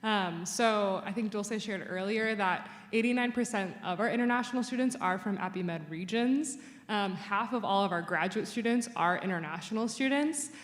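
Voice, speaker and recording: A woman's voice: mid-range voice, moderate pace. Woman on a panel in an echoey hall. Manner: earnest, filler-laden. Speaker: international student staff. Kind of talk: panel remarks. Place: conference hall.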